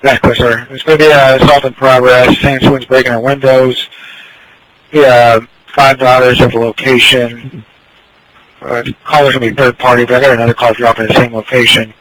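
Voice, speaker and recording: A man's voice: mid-range voice, flat delivery, moderate pace, a bit bright, no echo, rough recording. A man working as a police dispatcher, relaying calls in clipped procedural phrases over a scanner radio. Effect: police radio transmission.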